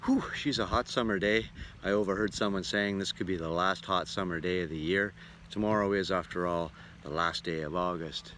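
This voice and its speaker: A man who entertains as a musician, talking in an animated, folksy storytelling way in a casual travel vlog. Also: low-pitched, fairly quick, noisy background. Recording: roomy echo, prominent acoustic guitar music.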